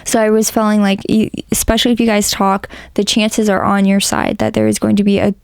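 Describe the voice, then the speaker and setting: A woman narrates a tarot reading in a loose, rambling way, full of ums and likes. Her voice is hoarse and gravelly, with a mellow tone. The recording is close and dry. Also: medium pitch, level tone, brisk, no room sound. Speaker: tarot reader.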